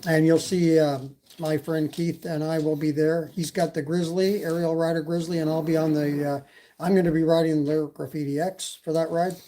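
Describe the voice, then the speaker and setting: A man's voice: fairly high pitch, flat delivery, moderate pace, dark tone, low-quality recording. A man from Canada with a slight Canadian accent, hosting a casual e-bike live stream and chatting with viewers, with lots of fillers. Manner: rambling, many fillers, reads chat. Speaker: e-bike channel host.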